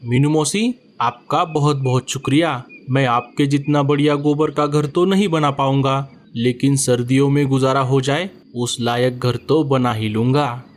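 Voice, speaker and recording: A man's voice: medium pitch, steady tone, a little slow, lightly acted. A man voicing a friendly male bird in a cartoon story, speaking politely and gratefully.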